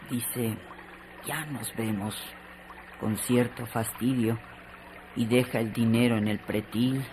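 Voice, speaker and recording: A man narrating a literary radio drama in a deliberate, dramatic way. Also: medium pitch, noisy background.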